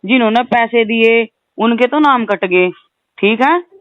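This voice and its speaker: A woman's voice: fairly high pitch, measured pace, no echo. A woman with a western Uttar Pradesh accent talks on a recorded phone call in an insistent, aggrieved way, often adding questioning tags. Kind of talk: heated phone conversation.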